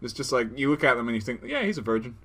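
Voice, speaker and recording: A man's voice: medium pitch, sing-song, rapid-fire, sharp tone. A young man co-hosting a podcast with casual, crude and teasing comedic banter. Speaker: podcast co-host.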